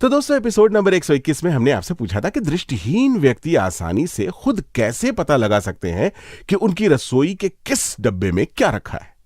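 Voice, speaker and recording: A man's voice: on the high side, wildly swooping pitch, moderate pace, hardly any pauses, darkish tone, no echo, clean studio sound. A man presenting a radio magazine show in a playful, conversational way, addressing listeners directly.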